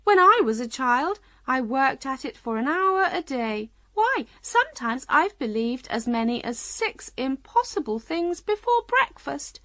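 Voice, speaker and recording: A woman voicing an eccentric, scatterbrained old storybook queen with a shrill, emphatic manner. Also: very animated, a little slow, few pauses, fairly bright tone, no room sound, heavily acted. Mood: cheerful, insistent. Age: older. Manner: shrill, emphatic, matter-of-fact about nonsense.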